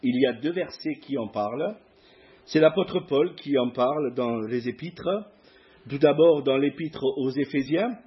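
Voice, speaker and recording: A middle-aged man with a southern French accent, a guest preacher giving a sermon in church, hesitant with many pauses. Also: fairly high pitch, muffled recording. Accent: southern French (Provence). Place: church hall before a masked congregation.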